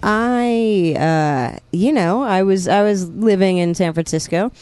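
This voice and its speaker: Deadpan, self-deprecating woman co-host. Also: medium pitch, slow, smooth, dry recording. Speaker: podcast co-host. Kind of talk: casual chat. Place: studio. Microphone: studio microphone.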